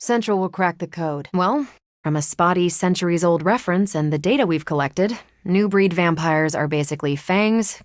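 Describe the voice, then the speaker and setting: A woman's voice: deep voice, no room sound, clean studio sound, close to an everyday voice. A woman playing a confident, wry, tough superheroine soldier in a video game, with casual, self-assured banter. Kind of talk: scripted video game voice acting.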